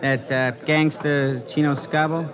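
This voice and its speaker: A man's voice: fairly high pitch, level tone, a little slow, crowd noise, close to an everyday voice. An actor playing a jaded ambulance man, laconic and wry, in a 1940s radio crime drama. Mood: blasé, wry. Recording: muffled old radio, street noise.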